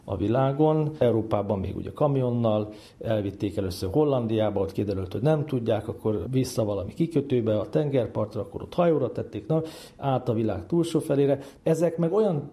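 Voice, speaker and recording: A man's voice: medium pitch, animated, mellow. A man with a Hungarian accent explaining things step by step as an opinionated interviewed expert. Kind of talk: spontaneous explanatory interview talk.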